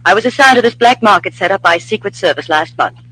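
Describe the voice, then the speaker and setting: An actress playing a clever, spirited undercover agent in an old-time radio drama. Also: female voice, mid-range voice, lively intonation, fast, fairly bright tone, breathy edge, low-quality recording. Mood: businesslike.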